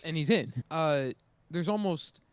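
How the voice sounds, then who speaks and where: A man's voice: a little high, a little slow, very noisy. A man on a podcast panel, chatting casually in a loose, slangy, conversational way. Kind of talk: casual chat.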